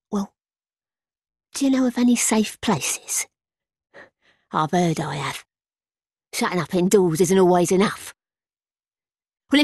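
A woman's voice: on the high side, fairly bright tone, no echo, studio quality, full character voice. An actress playing a bitter, suspicious, half-mad old townswoman in a gothic video game, with a British accent and a scornful, muttering manner. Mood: fearful, pleading. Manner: scornful, muttering and scolding by turns.

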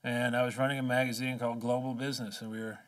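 A man in his forties giving an impassioned keynote speech at a podium, emphatic and rhetorical, with slow deliberate phrases. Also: medium pitch, little pitch movement, a bit bright. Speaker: keynote speaker. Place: large conference hall, at a podium. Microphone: podium microphone. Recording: distant conference hall sound.

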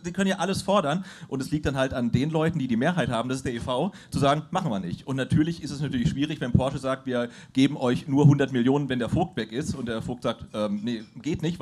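A man speaking as a guest on a live football panel, arguing his point quickly and firmly into a handheld mic on a pub stage. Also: mid-range voice, darkish tone. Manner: fast, emphatic arguing.

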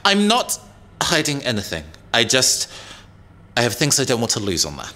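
An anxious young man new to the job in a science-fiction audio drama. His mid-pitched voice is bright and tight. He speaks earnestly and hesitantly. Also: wildly swooping pitch, moderate pace. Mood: nervous, defensive. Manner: hesitant, worried.

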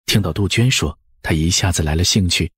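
A man's voice: low-pitched, fairly quick, fairly bright tone, breathy edge, dry recording, clean studio sound. A man narrating an audiobook story from a script.

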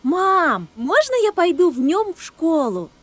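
Very high-voiced sweet schoolgirl. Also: very animated, a little slow, a bit bright, a little hiss or hum, exaggerated voice. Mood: pleading. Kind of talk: animated children's cartoon acting.

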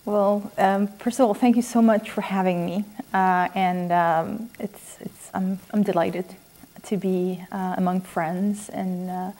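Mellow-voiced woman from Iran, thoughtful and halting. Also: medium pitch, fairly even intonation. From Iran, raised in Sweden. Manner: halting, thoughtful, pausing between phrases. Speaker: interview guest, children's author and former academic. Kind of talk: unscripted interview answers. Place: quiet room in a house.

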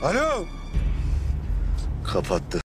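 A man's voice: on the high side, huge pitch swings, extremely slow, full character voice. An actor playing an arrogant, menacing middle-aged man making threats over the phone. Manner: threatening, gruff, swearing. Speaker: brother-in-law. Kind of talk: TV drama acting. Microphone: phone call in a car. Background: music.

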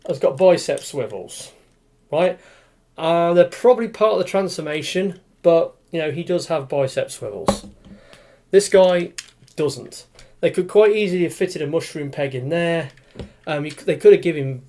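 A man's voice: on the high side, dark tone. Rambling man reviewing a toy. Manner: rambling, many fillers and restarts. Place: small room with display cabinet, indoors.

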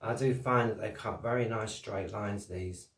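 Low-ish guy rambling thru a diy build at his workbench, cheery, echoey room, mic a bit far off. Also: moderate pace. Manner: cheery, rambling, laughs at own jokes. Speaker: cigar box guitar builder and channel host. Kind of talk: chatty how-to demonstration. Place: small home workshop room, indoors. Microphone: camera mic at desk distance. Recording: roomy, distant camera sound.

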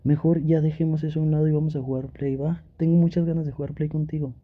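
A man acts out a young boyfriend in an ASMR roleplay, affectionate but pouty. His voice sits fairly high and is husky, coaxing and teasing as if to a partner right beside him. The sound is a little dull. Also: little pitch movement, fast, close to an everyday voice. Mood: sulky, coaxing. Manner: playful, pleading, sweet-talking with pet names. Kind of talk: Spanish ASMR boyfriend roleplay, intimate one-sided dialogue.

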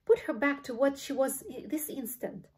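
A woman's voice: on the high side, lively intonation, normal speed, slight background noise, close to an everyday voice. A woman voicing a frantic, indignant mother in a storybook, screaming her exclamations.

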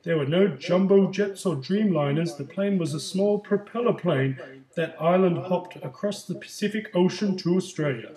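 A man's voice: sits high, normal speed, warm tone, echoey room, lightly acted, low-quality recording. A man playing an older Chilean migrant. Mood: calm. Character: older male Chilean migrant recalling his journey. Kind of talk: school project role-play interview.

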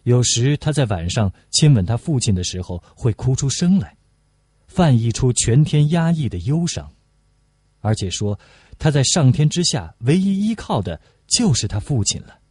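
A man's voice: mid-range voice, sing-song, moderate pace, darkish tone, slightly rough, no room sound. A man reading a novel aloud as a scripted audiobook narration.

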